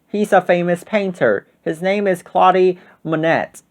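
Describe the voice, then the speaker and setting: Friendly young storybook boy, voiced by a male narrator, pitched a little high for a man. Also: measured pace.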